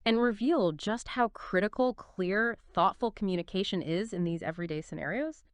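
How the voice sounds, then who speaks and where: A woman's voice: mid-range voice, moderate pace, clear, resonant tone, no echo. A woman hosting a podcast, talking through an explainer in a conversational but scripted way. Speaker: podcast host.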